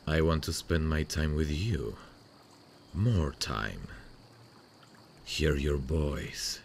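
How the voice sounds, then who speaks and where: Sweet boyfriend played by a male actor for ASMR audio roleplay: creaky fry, expressive melody, intimate and soothing. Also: extremely low pitch, slight background noise, heavily acted. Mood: shy, longing. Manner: tender, soothing, hesitant pauses. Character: sweet, devoted long-distance boyfriend on a late call. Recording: faint running-water nature sounds behind. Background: stream nature sounds.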